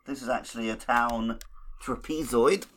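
A man's voice: medium pitch, normal speed, a bit bright, a little hiss or hum. A man co-hosting a gaming podcast, chatting casually in a wry, conversational way. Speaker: podcast co-host.

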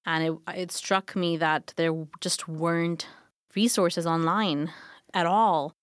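Guest, woman in her thirties from Pakistan, lowish smooth voice, casual talker, dry sound. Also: steady tone, slow, dry recording. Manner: conversational, many fillers. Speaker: guest, nonprofit founder. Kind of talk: casual interview answers.

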